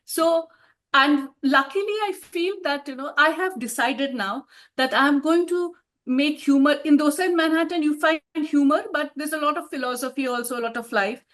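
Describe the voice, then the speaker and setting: A woman's voice: sits high, normal speed, a bit bright. A woman, an author answering interview questions in a reflective way and laughing often. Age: 50s.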